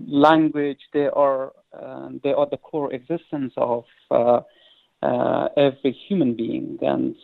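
Halting man on a phone line. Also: medium pitch, vocal fry. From Afghanistan. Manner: hesitant, many fillers and restarts. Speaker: poet and interview guest. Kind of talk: halting interview answers.

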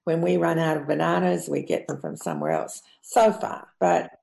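Aussie woman on a panel, dark creaky voice, measured n opinionated, roomy echo. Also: sits low, big pitch swings. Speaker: panel guest. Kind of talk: reflective panel discussion. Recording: echoey room sound.

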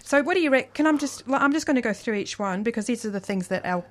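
A woman's voice: fairly high pitch, lively intonation, fast. A woman hosting a conversation, asking her guest questions in a curious, conversational way. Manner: inquisitive, conversational. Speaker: host. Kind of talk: interview conversation.

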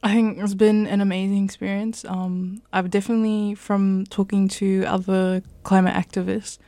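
A young New woman chatting as an interview guest. She keeps stopping with "um" and "like" as she talks. Her voice sits lowish and fairly level, with a mellow, dark tone on a dry, close recording. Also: level tone, moderate pace, no room sound. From New Zealand. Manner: chatty, many fillers like "um" and "like". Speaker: climate activist guest. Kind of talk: casual interview answers.

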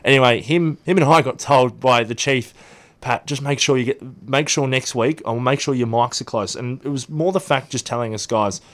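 A man's voice: mid-range voice, normal speed. A young Australian man in his twenties, a radio guest telling long stories with many ums and restarts in a studio interview. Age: 20s.

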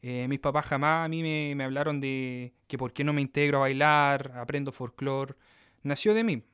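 A man's voice: medium pitch, steady tone, normal speed, fairly bright tone, clean tone, dry recording, clean studio sound. A man in his thirties from Australia with Chilean parents, a dancer telling his story as an interview guest, often checking in with "¿me entiendes?". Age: 30s. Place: Melbourne.

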